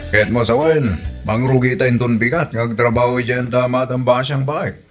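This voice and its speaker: Man playing a plain-spoken village husband, ilocano accent, medium pitch, clear music behind him. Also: fairly even intonation, normal speed, lightly acted. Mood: questioning. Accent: Filipino, Ilocano. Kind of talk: Ilocano comedy radio drama acting.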